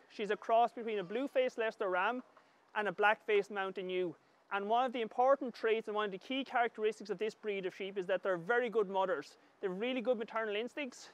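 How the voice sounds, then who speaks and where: Irish guy, middle aged, pretty high clear voice, calmly talking through a farm demo step by step. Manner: calm, explanatory, measured. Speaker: agriculture professor demonstrating lambing. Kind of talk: hands-on instructional commentary. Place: large open livestock shed with straw pens.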